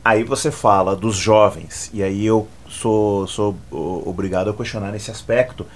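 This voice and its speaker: A man's voice: lowish voice, fairly even intonation. A man interviewing a couple, asking long questions with frequent restarts. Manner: halting, restarts questions often. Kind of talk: conversational interview.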